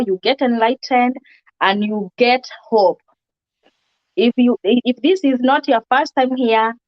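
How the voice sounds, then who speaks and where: A woman's voice: on the high side, lo-fi sound. Upbeat woman hosting an interview. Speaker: host. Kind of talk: casual interview.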